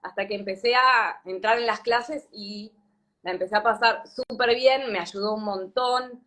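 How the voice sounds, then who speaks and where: A woman in her thirties with an Argentine Spanish accent, from Patagonia, telling her story as a guest in an interview, thoughtful and pausing often with fillers. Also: medium pitch, pushed and bright. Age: 30s. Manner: thoughtful, many fillers and restarts. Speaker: yoga teacher, guest. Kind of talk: reflective interview talk.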